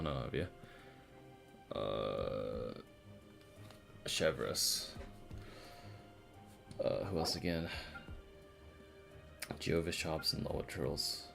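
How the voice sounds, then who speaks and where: A man's voice: very deep voice, vocal fry. A man chatting casually on a game stream in a slangy, rambling, profane way. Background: faint game music.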